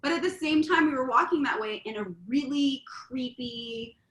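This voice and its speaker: A woman's voice: high-pitched, normal speed, fairly bright tone. A middle-aged woman co-hosting a relationship talk show, earnest and explaining her point at length with examples. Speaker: podcast co-host. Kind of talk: casual chat. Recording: echoey room, faint ambience.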